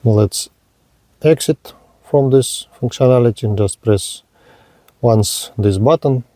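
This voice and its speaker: Slow, careful man demoing a radio. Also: mid-range voice, dark tone, no room sound. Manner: halting, careful, with pauses and fillers. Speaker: radio reviewer. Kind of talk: slow hands-on product demo narration.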